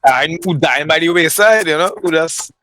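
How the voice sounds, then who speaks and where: A man co-hosting a podcast, chatting casually and haltingly with plenty of "you know". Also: a little high, sharp tone, no room sound, clean studio sound. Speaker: podcast co-host. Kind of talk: casual chat.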